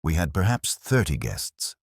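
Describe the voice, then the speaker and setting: A man's voice: extremely low pitch, big pitch swings, a little slow, creaky, slightly rough, dry recording, studio quality, heavily acted. A man voicing a prim, cautious elderly female librarian with a precise, gossipy manner. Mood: prim, guarded.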